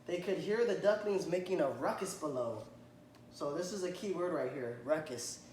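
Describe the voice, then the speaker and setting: A man reading a children's picture book aloud to a child, stopping to point at the pictures and ask questions, and often clearing his throat. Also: a little high, normal speed, a little mellow. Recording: echoey room, faint background noise.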